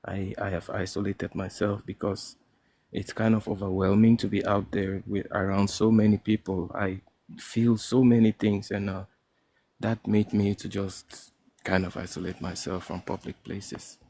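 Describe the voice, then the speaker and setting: Husky low guy from cameroon on a call, soft and halting, keeps restarting. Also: level tone, no room sound. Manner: soft-spoken, hesitant, with restarts. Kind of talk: casual chat.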